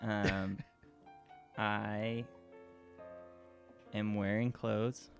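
A man playing a tabletop game, speaking in a halting, deadpan way. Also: on the low side, creaky, faint music behind. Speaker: player. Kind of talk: casual chat.

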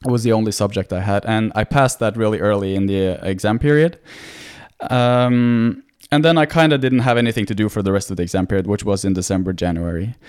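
A man's voice: lowish voice, a little fast, clear, resonant tone, no room sound, clean studio sound. A man in his twenties from Norway, a medical student talking as a podcast guest in an easy, chatty way with frequent small restarts and laughs. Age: 20s. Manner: relaxed, laughs often, many filler restarts. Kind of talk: casual conversation.

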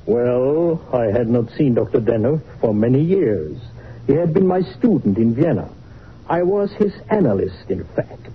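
An actor playing an older, learned Viennese psychoanalyst in a 1940s radio drama, speaking in a deliberate, formal, self-important way. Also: male voice, mid-range voice, very animated. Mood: measured, clinical. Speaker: psychoanalyst character. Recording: muffled, noisy old radio recording.

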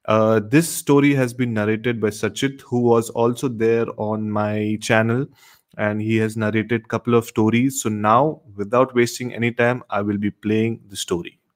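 A man's voice: fairly low pitch, flat delivery, moderate pace. A man hosting a live horror storytelling show, speaking straight to his listeners and often repeating himself. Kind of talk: live stream presenting to viewers.

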